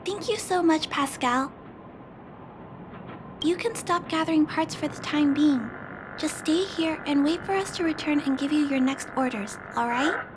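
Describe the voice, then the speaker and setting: A woman voicing a gentle, earnest young girl who speaks softly and politely, in a game cutscene with music. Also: high voice, a little slow, background noise.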